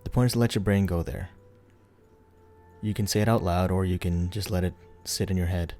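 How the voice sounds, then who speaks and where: A man with a deep, relaxed voice narrates a guided meditation. He gives soothing, unhurried instructions and leaves space between phrases. Soft music plays behind him. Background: background music.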